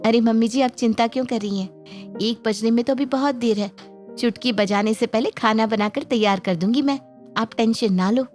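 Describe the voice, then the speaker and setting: Cartoon newlywed, done by a female narrator: higher-pitched, breezy, smug health-food know-it-all, music behind. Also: moderate pace, darkish tone, natural voice. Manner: airy, self-assured, condescending. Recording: background music under the voice.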